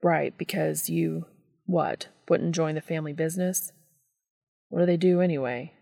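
A charming young man, calm and sure of himself, as a woman reading a story puts him on. The tone is low and a little gravelly, recorded close and dry. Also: moderate pace, dry recording. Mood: easygoing. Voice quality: easygoing and self-assured.

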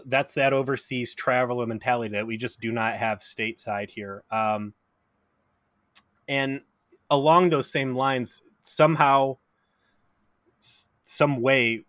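A man's voice: mid-range voice, fairly bright tone, dry recording. A man from Cleveland co-hosting a podcast and interviewing a guest, eager and chatty, with frequent restarts. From Cleveland, USA. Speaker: podcast co-host.

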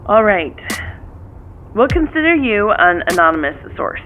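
A woman's voice: medium pitch, sing-song, measured pace. A curt, skeptical female police detective in an audio drama. Her voice comes thin and narrow through a phone line with some noise behind it. She speaks dryly and keeps things businesslike. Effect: telephone filter. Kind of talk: naturalistic audio drama acting.

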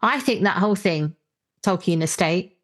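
A woman's voice: fairly low pitch, normal speed. A woman hosting a podcast, talking casually and thoughtfully about stories in an articulate way. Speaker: podcast host. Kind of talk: casual literary discussion.

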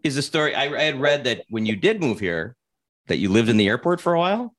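A man's voice: medium pitch, big pitch swings, fast, fairly bright tone. A man hosting a podcast, asking questions and reacting in casual interview chat. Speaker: podcast host.

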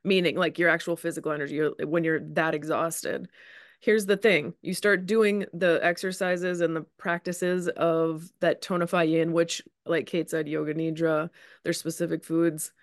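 A woman's voice: fairly low pitch, fairly even intonation, moderate pace, dry recording, studio quality. Reassuring woman explaining on a podcast. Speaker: podcast co-host, Chinese medicine practitioner. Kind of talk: conversational explanation.

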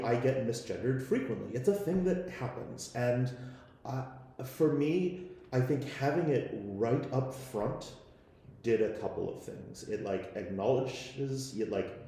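A man speaking off the cuff on a panel, conversational and halting, often repeating himself. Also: mid-range voice, dark tone. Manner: halting, conversational, frequent repetition. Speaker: panelist from a podcast team. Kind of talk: unscripted panel discussion. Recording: very echoey room.

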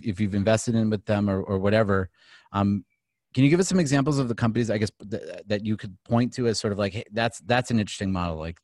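A man's voice: lowish voice, darkish tone, dry recording, studio quality. A man hosting an interview who asks long, meandering questions full of restarts. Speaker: interview host.

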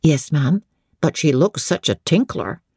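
A woman's voice: low-pitched, expressive, a little slow, creaky, darkish tone, slightly rough, no echo, studio quality, heavily acted. A woman voicing a deferential male footman in an audiobook, earnest and servile, with rustic, plain-spoken turns of phrase. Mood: earnest, reporting.

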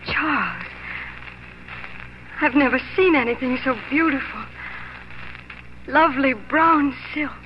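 A woman's voice: high voice, expressive, slow pace, long pauses. An American actress playing a devoted, hopeful young pioneer wife and mother, earnest and emotional in 1940s radio style. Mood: delighted, loving. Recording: muffled, noisy 1940s radio recording.

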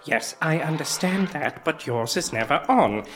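Dutiful captain: low, animated, formal, earnestly insistent audio drama read. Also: female voice, unhurried, background noise. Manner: deferential but insistent, formal. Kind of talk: scripted audio drama acting.

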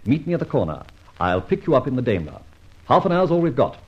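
An Australian actor playing a suave, playful gentleman thief in a 1950s radio drama, brisk and theatrical. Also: mid-range voice, very animated, lightly acted. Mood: scheming, playful. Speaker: lead character. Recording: dull old radio recording, faint hiss.

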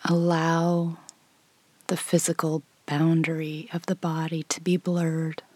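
A woman guides a meditation in a soft, husky voice that often drops close to a whisper. She speaks very slowly, leaving long pauses between gentle instructions. The sound is dry and close, with a slightly low pitch. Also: little pitch movement, no room sound.

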